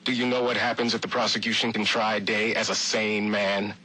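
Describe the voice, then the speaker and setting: Bright, gruff corrupt lawman. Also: lowish voice, level tone, fairly quick, a little hiss or hum, poor audio. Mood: stern, dismissive. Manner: brusque, street-wise, condescending. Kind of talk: video game audio log acting.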